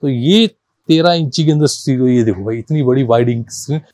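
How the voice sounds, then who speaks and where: A man's voice: medium pitch, a little fast, dark tone, no echo. A man doing an informal, insistent product walkthrough as a shop seller, repeating himself and mixing in English numbers and terms. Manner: insistent, repetitive, addressing viewers as brother. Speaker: car accessories shop seller presenting stock.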